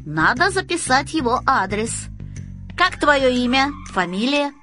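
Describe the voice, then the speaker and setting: A woman's voice: high voice, very animated, measured pace, sharp tone, soft background music, exaggerated voice. A woman voicing a businesslike, officious male building manager, patronising and matter-of-fact, in a storybook reading.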